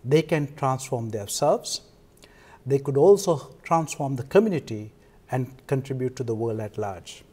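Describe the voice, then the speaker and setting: A man with a Sri Lankan accent explaining things as a guest in a televised interview, halting now and then mid-sentence. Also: medium pitch, expressive, dark tone, breathy edge. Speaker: interview guest, foundation co-founder.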